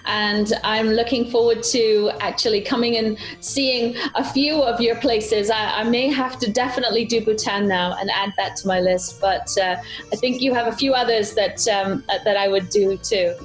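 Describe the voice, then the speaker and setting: A woman's voice: medium pitch, fairly even intonation, moderate pace, faint music behind, rough recording. Chatty British-born woman host. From Britain. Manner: chatty, laughs easily. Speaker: travel show host. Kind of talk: video call interview.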